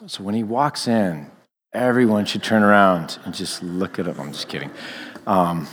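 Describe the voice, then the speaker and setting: A man, a guest pastor with a naturally loud voice, opening his sermon in a relaxed, joking way. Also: low-pitched, normal speed. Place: church sanctuary. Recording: long church reverb.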